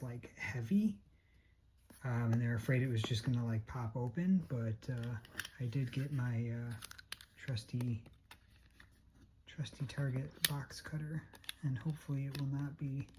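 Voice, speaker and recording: A hoarse-voiced vlogger talking casually and a bit hesitantly through a product unboxing. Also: medium pitch, background noise. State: hoarse, losing voice. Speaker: unboxing video host.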